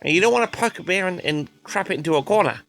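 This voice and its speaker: Creaky-voiced man rambling through an audio log. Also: high-pitched, lively intonation, quick pace, a bit bright, soft background music. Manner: hesitant, repetitive, often addresses listeners directly. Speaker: host of a personal audio log. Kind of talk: rambling casual monologue to audience.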